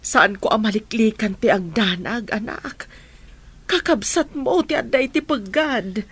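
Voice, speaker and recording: A slightly high female voice from an actress plays an anxious mother of grown children. She is fretful and pleading, in the style of Ilocano radio drama. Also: measured pace, fairly bright tone, breathy edge, heavily acted. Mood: worried.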